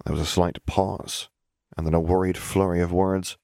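A man with a deep, low voice narrates fiction in a measured, dramatic storytelling style. The recording is close and dry, with no room around the words. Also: flat delivery, warm tone, no echo. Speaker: audiobook narrator.

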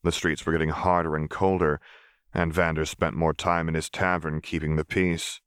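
Low male audiobook narrator, calm and dry. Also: moderate pace, clear, resonant tone, no room sound. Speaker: audiobook reader. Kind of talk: scripted narration.

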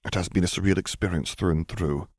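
A man's voice: deep voice, steady tone, fast, a little mellow, slightly rough, dry recording. An older male admiral, as voiced by a male storybook reader, courteous and reserved. He speaks formally and a little hesitantly, with polite bemusement, in a close, dry recording. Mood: bemused.

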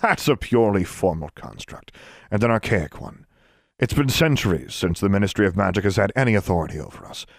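Low calm pompous old wizard boss, as a guy reads him, dry recording. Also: brisk, vocal fry, dry recording, heavily acted. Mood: condescending. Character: stout older male wizard leader, cool and pompous. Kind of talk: audiobook character voice.

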